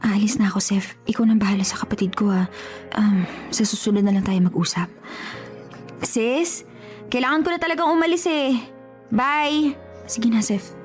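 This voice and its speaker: A woman's voice: fairly high pitch, moderate pace, long pauses, faint music behind, natural voice. An actress playing an evasive, guilt-ridden older sister in a Tagalog radio drama, hesitant and pleading as she makes excuses. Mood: hushed, hesitant.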